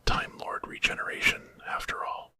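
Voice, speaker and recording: A man narrating a horror story as ASMR in a breathy, dramatic whisper from start to finish. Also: moderate pace, sharp tone.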